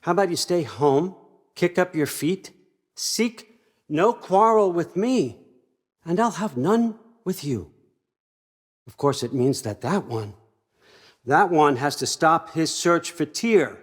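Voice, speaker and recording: A man's voice: a little high, lively intonation, slow, pauses often. An older American man acting in a video game as a scheming, controlling old father god, wry, folksy and conversational as he manipulates. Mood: sly bargaining, then insistent, pressing.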